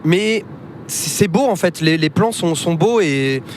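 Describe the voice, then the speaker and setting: A man's voice: a little high, lively intonation, a little slow, a little hiss or hum. Young man chatting from a car. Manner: enthusiastic, list-making, hesitant. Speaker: podcast co-host. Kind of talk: casual chat. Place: inside a moving car.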